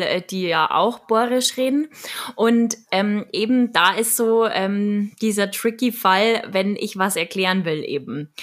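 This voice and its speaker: A woman from Munich with a Bavarian accent, a trained radio and voice speaker, chatting casually and laughing often. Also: medium pitch. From Munich, Germany. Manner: lively, laughs often while telling stories. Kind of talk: casual conversation.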